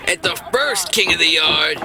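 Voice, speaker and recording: A man giving excited, dramatic play-by-play wrestling commentary, emphatic and often shouting. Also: on the high side, normal speed, over music, crowd noise. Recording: clearly noisy background.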